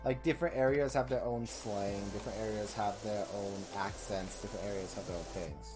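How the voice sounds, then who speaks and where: A young man casually commenting while playing a video game on a live stream, rambling, swearing and thinking out loud. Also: lowish voice, fairly even intonation, fairly quick, music in the background, low-quality recording.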